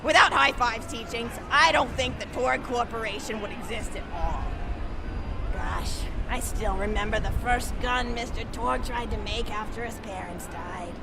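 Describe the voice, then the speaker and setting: A woman's voice: fairly high pitch, normal speed, gravelly, noisy background. An actress voicing a cheery, eccentric old grandmother in a video game, a doting, rambling storyteller. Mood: proud, gleeful. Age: older. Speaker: game character. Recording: game audio with music and crowd.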